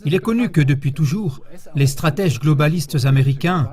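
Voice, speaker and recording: A man reading a scripted news report in a measured, formal voice-over. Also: a little high, a little mellow. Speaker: news narrator.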